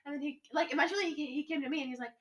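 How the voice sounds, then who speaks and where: A woman's voice: sits high, very fast, fairly bright tone. A young teenage girl podcast co-host telling stories in casual chat, with a giggly, rambling, gushing manner.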